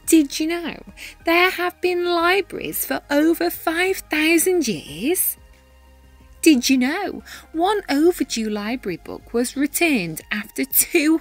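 A woman reading a children's picture book aloud in an expressive, animated manner with dramatic pauses and emphasis. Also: high voice. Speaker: read-aloud storyteller, teacher-style reader.

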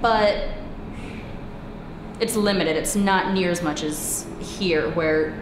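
Woman, emphatic, echoey room, clear background noise. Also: fairly low pitch, slow pace. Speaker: interviewee. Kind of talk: casual documentary interview.

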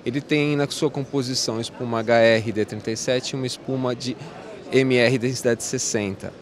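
A man's voice: mid-range voice, fairly even intonation, moderate pace. A man in his forties with a Brazilian Portuguese accent, a company representative describing products in a salesy way, recorded on a handheld mic in a large exhibition hall. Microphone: host's handheld mic.

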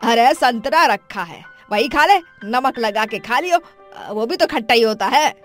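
Woman acting a slow, high-voiced mother-in-law. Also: expressive, faint music behind. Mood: brisk, dismissive. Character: middle-aged mother-in-law, partial to her daughter, dismissive. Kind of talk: acted dialogue for an animated moral story.

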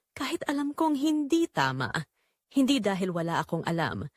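A woman's voice: medium pitch, no echo, studio quality. A woman narrating a scripted first-person romantic drama in an emotive, confessional manner. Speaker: storyteller narrating in character.

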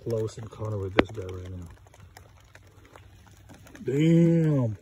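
A man's voice: fairly low pitch, animated, takes it slowly, a little mellow, muffled recording. An American man casually narrating an outdoor fishing vlog in informal, slangy speech. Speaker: fishing vlogger. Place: outdoors on a grassy riverbank. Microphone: handheld phone. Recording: noisy outdoor phone recording.

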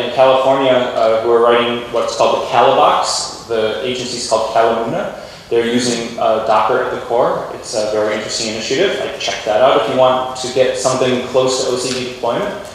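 A man giving an informal, explanatory conference keynote on technology to a lecture theatre. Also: mid-range voice. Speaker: keynote speaker. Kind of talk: conference tech presentation. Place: large university lecture theatre with audience. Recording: echoey hall, distant mic, faint noise.

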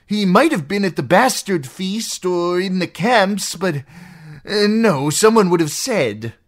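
A shrill, cowardly pleading lordling. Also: male voice, animated, slow, a bit bright, exaggerated voice. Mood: anxious, eager. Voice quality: shrill, squealing. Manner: frantic, stammering, self-excusing. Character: cowardly, pleading captive lordling, adult man, whining and shrill. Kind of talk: audiobook character acting.

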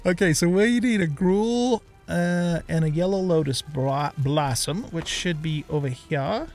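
A man's voice: on the high side, measured pace, darkish tone. A middle-aged man giving casual let's play commentary, thinking aloud as he plays. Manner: talks to himself, thinking aloud.

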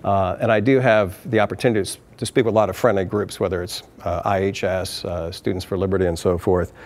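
A man's voice: deep voice, fast talker. A man in his fifties, a brewery chief executive, telling stories and answering questions in a sit-down interview in a taproom, gesturing as he talks. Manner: animated storytelling, hand gestures.

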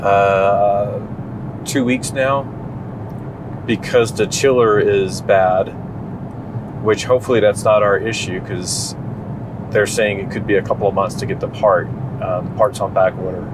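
Male driver and vlogger, thirties, lowish voice, casual talk over steady cabin and road noise. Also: a little slow. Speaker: vlog co-host, driver. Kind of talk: casual chat. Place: inside a moving car.

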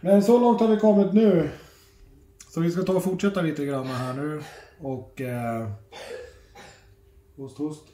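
A middle-aged man chatting casually for a family vlog, informal and sweary, often halting, recorded on a phone at arm's length indoors. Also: fairly high pitch, fairly even intonation, mellow. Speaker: family vlogger. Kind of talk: casual handheld vlog chat. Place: indoors at home, rooms and kitchen. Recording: roomy echo, faint household noise.

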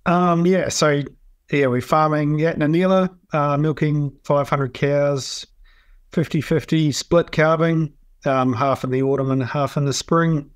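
A man's voice: on the high side, steady tone, measured pace, smooth, no room sound, studio quality. A man from New Zealand with a New Zealand accent, a dairy farmer answering interview questions in an easygoing way full of um and yeah.

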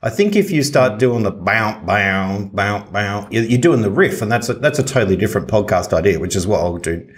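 A man's voice: on the low side, lively intonation, fairly quick, hardly any pauses. A man talking casually as a podcast co-host. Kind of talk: casual chat.

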